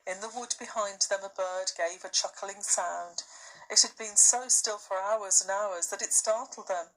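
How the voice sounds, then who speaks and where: A woman reading a children's story aloud as expressive scripted narration. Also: mid-range voice, normal speed, rough recording. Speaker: storybook reader, teacher.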